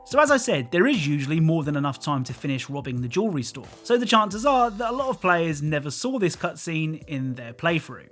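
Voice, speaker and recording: A man's voice: on the high side, very animated, brisk, few pauses. A man reading scripted video game commentary in a dryly humorous way. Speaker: video narrator. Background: music.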